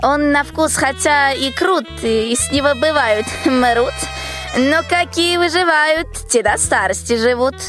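A woman's voice: high-pitched, unhurried, music in the background. A female performer playing a sly, ailing old witch who grumbles in folksy rhyme in a radio fairy tale. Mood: sly advising. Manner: folksy, rhyming, grumbling speech.

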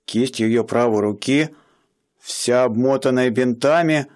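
A man narrating a radio play in a measured storytelling manner. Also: mid-range voice, darkish tone. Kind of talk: scripted radio drama narration.

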